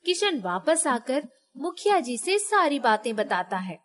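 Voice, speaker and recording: A woman storyteller with a very high voice. She narrates a scripted tale in clear sequence, as a storyteller would. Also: sing-song, a little slow, a bit bright.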